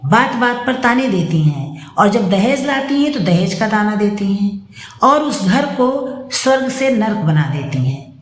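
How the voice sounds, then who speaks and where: A woman narrating a moral story as a storyteller, with measured, deliberate phrasing. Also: medium pitch. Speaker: storyteller reading own moral stories. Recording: roomy, echoing room sound.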